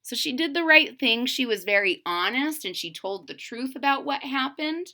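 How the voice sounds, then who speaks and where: A woman reading a picture book aloud to children in a dramatic way, pausing to put questions to young listeners. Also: high voice, lively intonation, sharp tone.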